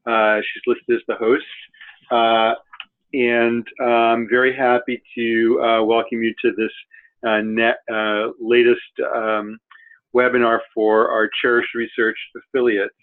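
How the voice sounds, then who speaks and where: A man hosting a webinar, introducing the speakers in a halting way with many fillers. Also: medium pitch, little pitch movement. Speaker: webinar host. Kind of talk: hesitant spoken introduction. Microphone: conference call. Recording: tinny phone-line audio.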